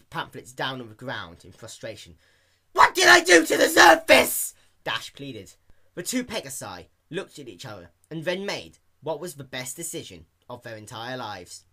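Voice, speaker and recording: A man narrating a story as an amateur fan fiction reader, in an animated scripted style. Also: medium pitch, normal speed.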